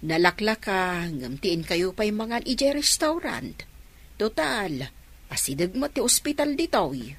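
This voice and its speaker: A woman's voice: mid-range voice, sing-song, slow, breathy edge, heavily acted. An actress playing a friendly, practical older female food vendor in a radio drama, with a Filipino Ilocano accent. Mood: persuasive.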